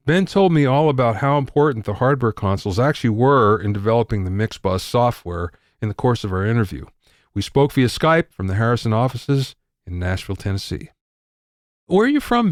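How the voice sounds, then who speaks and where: A man's voice: medium pitch, no echo. Dry-voiced man hosting a podcast. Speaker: podcast host. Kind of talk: scripted news segment and interview.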